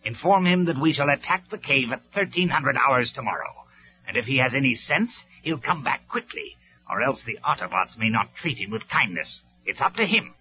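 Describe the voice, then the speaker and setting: A man's voice: medium pitch, sing-song, moderate pace, voice pushed up, close to an everyday voice. A man voicing a ruthless male robot warlord who snaps and barks his orders in a storybook reading. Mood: gloating. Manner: barking, snapping orders. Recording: slightly dull lo-fi recording with faint music.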